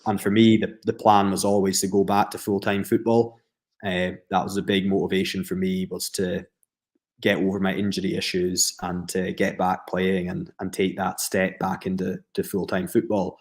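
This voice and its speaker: Deep flat-voiced Scottish man. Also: moderate pace. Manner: reflective, steady, with frequent fillers and restarts. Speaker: professional footballer guest. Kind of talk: podcast interview answers.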